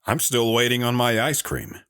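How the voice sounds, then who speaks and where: A man's voice: medium pitch, steady tone, normal speed, fairly bright tone, smooth, no room sound, clean studio sound, lightly acted. An actor playing an older, reflective, world-weary man telling his life story in an audio drama. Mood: plain, reserved.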